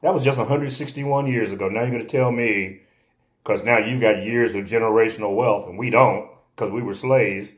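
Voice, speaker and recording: Emphatic lower-voiced man, insistent. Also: normal speed. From United States (born in Oklahoma). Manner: repetitive, emphatic, insistent, occasional laughs. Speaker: video commentator. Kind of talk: impassioned spoken commentary.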